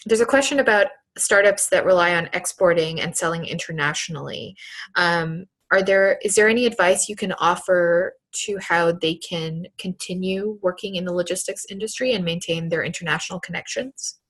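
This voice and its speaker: A woman's voice: on the low side, normal speed, a bit bright. A woman moderating a conference call, politely relaying questions and thanking the speakers.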